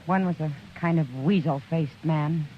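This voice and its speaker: A woman's voice: sits low, measured pace, a little hiss or hum. A woman acting a frank, loyal saloon woman in a 1950s western radio drama, direct and earnest in her delivery. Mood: concerned. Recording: dull old radio recording with music.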